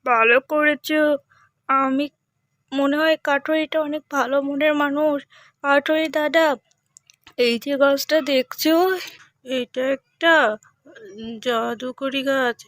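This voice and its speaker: Woman putting on a high-pitched, kindly talking bird. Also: steady tone, slow, long pauses, a bit bright, dry recording. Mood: grateful, warm. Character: kind, wise talking bird, guardian of magic tree. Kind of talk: cartoon story acting.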